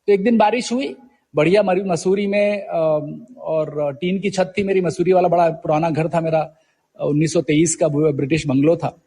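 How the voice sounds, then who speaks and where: A man in his forties from Uttarakhand with a husky voice, telling his story conversationally as an interview guest, mixing in English words. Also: on the high side, steady tone, normal speed, warm tone, in a large hall. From Uttarakhand, India. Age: 40s. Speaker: guest poet.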